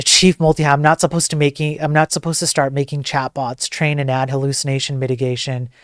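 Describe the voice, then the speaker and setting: A man with a soft voice chatting casually to viewers as a livestream host, in an informal, joking, rambling way. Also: on the high side, dry recording, clean studio sound.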